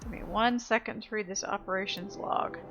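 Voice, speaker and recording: A woman's voice: mid-range voice, fairly even intonation, fairly quick. A woman giving offhand, casual commentary as she plays a game, thinking aloud. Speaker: gamer, let's player. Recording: clear game music behind.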